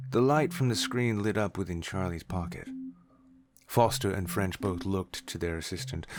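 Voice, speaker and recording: A man's voice: on the low side, creaky. A man narrating a scripted story as its narrator.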